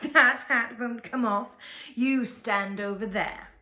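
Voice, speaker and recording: A woman voicing a spoiled, mean young male grand duke, with a shrill, petulant delivery. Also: takes it slowly, pushed and bright. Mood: sneering.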